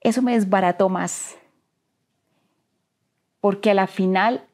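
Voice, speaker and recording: A woman's voice: medium pitch, slow pace, darkish tone. A young woman with a Colombian Spanish accent recounts her own experience in an interview. She talks earnestly, stumbles and repeats words, and drops almost to a whisper when things get heavy. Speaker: interview guest. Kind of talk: emotional personal storytelling.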